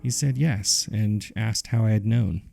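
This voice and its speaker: Deep, gravelly man narrating horror. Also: a little slow, warm tone, soft background music. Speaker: host and narrator of a horror audio drama. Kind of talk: scripted narration, reading case files.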